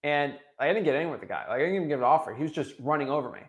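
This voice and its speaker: A young man from Florida giving an energetic motivational talk, full of restarts and tagging his points with "right?". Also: a little high, racing. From Florida, USA. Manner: energetic, repetitive tag "right?", frequent restarts. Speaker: real estate wholesaling coach.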